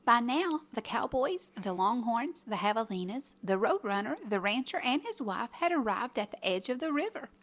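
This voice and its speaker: Female children's storyteller: higher register, lively melody, dramatic emphasis, scripted read-aloud. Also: normal speed, hardly any pauses.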